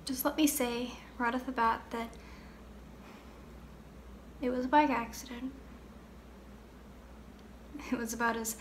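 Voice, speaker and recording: A young woman playing a candid, grieving girl in a conversational, wistful dramatic monologue with pauses. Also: fairly high pitch, a little hiss or hum.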